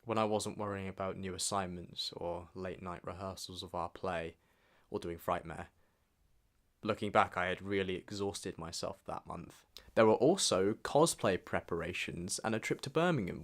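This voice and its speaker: A man's voice: deep voice, normal speed. Casting: British male vlogger, early twenties, casual, self-deprecating, rambles as he reflects. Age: early 20s. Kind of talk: casual personal vlog and reflective narration.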